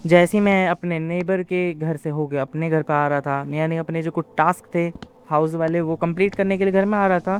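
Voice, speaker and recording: A man's voice: a little high, slight background noise. A man casually telling the story of his gameplay, colloquially, with English words mixed in. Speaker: gaming video narrator.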